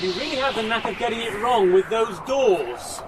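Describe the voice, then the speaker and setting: Smug, calculating experimenter, highly animated. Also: male voice, moderate pace, background noise. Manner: smug, formal, precise wording. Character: cold, calculating male experimenter who toys with people. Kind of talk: radio drama acting.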